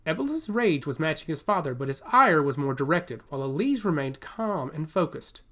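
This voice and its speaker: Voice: medium pitch, big pitch swings, a little fast, a bit bright. A narrator reading a scripted fantasy story.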